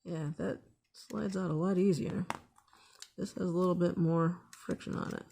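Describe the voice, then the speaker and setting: A woman's voice: fairly low pitch, steady tone, moderate pace, creaky, warm tone, a little hiss or hum. A woman hosting a casual hands-on DIY tutorial, thinking aloud in an unscripted way as she works. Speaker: DIY video host.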